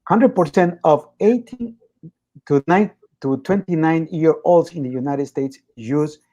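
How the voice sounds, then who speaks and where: A man's voice: fairly high pitch, unhurried, warm tone. A man in casual chat, steadily explaining statistics with occasional fillers.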